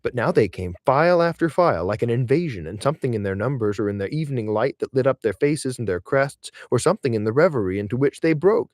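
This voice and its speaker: A man's voice: medium pitch, animated, fast, hardly any pauses, a little mellow, no room sound, clean studio sound. A man reading literary essays aloud as an audiobook narrator, with theatrical, emphatic phrasing. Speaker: audiobook reader.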